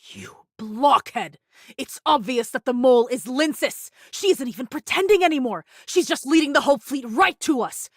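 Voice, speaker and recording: A woman's voice: high voice, lively intonation, normal speed, dry recording, studio quality. A woman voicing a wary, cynical female pirate with a blunt, scornful manner in anime game dialogue. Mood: exasperated. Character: wary, cynical pirate woman who refuses risky ventures.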